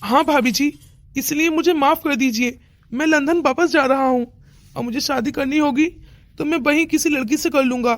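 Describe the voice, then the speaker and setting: A woman's voice: high voice, normal speed, dry recording, close to an everyday voice. A woman voicing a meek, deferential, apologetic young suitor in a melodramatic Hindi cartoon story. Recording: music under the voice.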